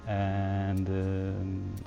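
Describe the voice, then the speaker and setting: A man's voice: deep voice, monotone, extremely slow, creaky, mellow, dull audio, faint music behind. A man interviewing exhibitors for a podcast at a trade show, asking his questions hesitantly with fillers.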